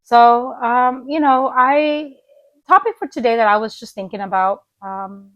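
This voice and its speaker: A woman's voice: on the high side, unhurried, fairly bright tone, no echo, highs cut off. A woman in her forties vlogging from her small living room with a laptop camera, chatting in a rambling way with fillers as her voice comes back after illness. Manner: rambling, many fillers and restarts. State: recovering voice, coughing. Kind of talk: unscripted morning vlog chat.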